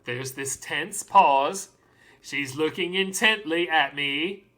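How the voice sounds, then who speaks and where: A woman acts out a devoted, excitable dad in a stage monologue. The voice is bright and forceful, and the pace is unhurried, with dramatic pauses and stretched emphasis. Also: low-pitched. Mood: awed, tender. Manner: animated storytelling, dramatic pauses, drawn-out emphasis. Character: doting, excitable parent of a small girl, called Daddy.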